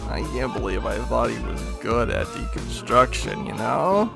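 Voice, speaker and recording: A woman's voice: a bass voice, very animated, normal speed, gravelly, heavily acted. A woman playing a pretentious, oblivious rich party host in an audio drama, languid, with music behind the voice. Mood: languid, self-satisfied.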